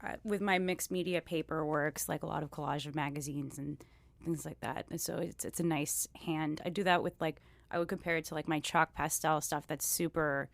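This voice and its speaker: A woman from Miami talking casually about her art, her voice sitting low, and her phrases loop and restart with lots of "like." The sound is close and dry, with no room around it. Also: fairly even intonation, moderate pace, vocal fry, no echo. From Miami, USA. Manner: rambling, many "like" fillers and restarts. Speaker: guest artist. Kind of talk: casual interview conversation.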